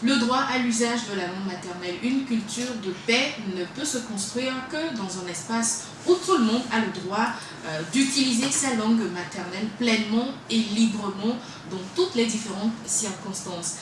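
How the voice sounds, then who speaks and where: Woman radio host, casual and halting, recorded far off in a boomy room with noise. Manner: chatty, hesitant with frequent fillers. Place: web radio studio. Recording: echoey room from far off, noisy.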